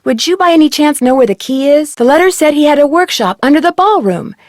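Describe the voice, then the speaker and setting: Young female detective for an adventure game: higher pitch, inquisitive, courteous, neatly enunciated, close dry sound. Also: animated, fairly quick, darkish tone, no echo. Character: polite, curious young female amateur detective questioning people. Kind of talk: scripted adventure game dialogue.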